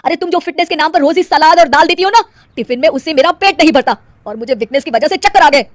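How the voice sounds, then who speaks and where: Cartoon young husband, voiced by a woman: exasperated, admonishing, very high voice, dry sound. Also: lively intonation, quick pace, no echo. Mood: angry. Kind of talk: Hindi cartoon moral-story acting.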